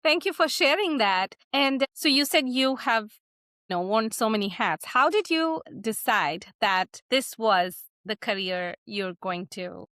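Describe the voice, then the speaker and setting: A woman's voice: on the high side, expressive, unhurried, a bit bright, no room sound, studio quality. A woman from India hosting a podcast, with scripted introductions and interview questions. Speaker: podcast host.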